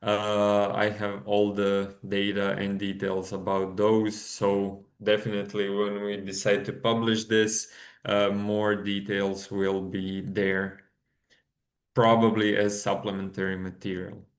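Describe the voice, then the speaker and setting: A man from Croatia giving an academic presentation over a video call, speaking slowly and haltingly with many filler sounds. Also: on the low side, completely flat delivery. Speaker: conference presenter. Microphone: computer mic on a video call.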